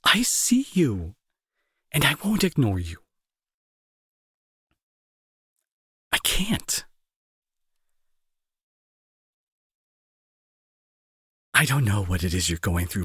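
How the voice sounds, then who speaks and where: Man acting a husky, remorseful bully. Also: mid-range voice, very animated, a bit bright, no room sound, studio quality. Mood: pleading, tender. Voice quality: husky, breathy. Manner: halting, emotional, earnest pleading. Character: angry male bully turned remorseful, protective, grieving brother. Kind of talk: intimate dramatic audio roleplay monologue.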